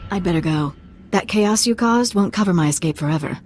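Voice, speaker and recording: A woman as a flippant, low-voiced young spy. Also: brisk, a little mellow, a little hiss or hum, close to an everyday voice. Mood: breezy. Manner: flippant, teasing. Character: cocky, breezy young noblewoman spy. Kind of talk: dramatic video game acting.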